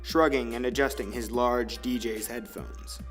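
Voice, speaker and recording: A man's voice: mid-range voice, steady tone, a little slow, fairly bright tone. Male story narrator over faint music.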